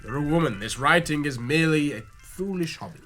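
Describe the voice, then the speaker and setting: A middle-aged Victorian patriarch. He speaks formally and condescendingly, with a forceful, cutting edge. It is radio drama with faint music behind the voice. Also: fairly high pitch, lively intonation, a little fast. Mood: angry, commanding. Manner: formal, commanding. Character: strict, controlling Victorian patriarch, stern and condescending. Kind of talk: period radio drama acting.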